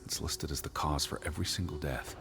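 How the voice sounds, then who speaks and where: An actor playing an anxious, bookish 1920s gentleman searching for his missing sister in a video game drama, speaking earnestly in precise, formal phrases. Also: extremely low pitch, fairly quick, gravelly, soft background music, full character voice. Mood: grave, brooding.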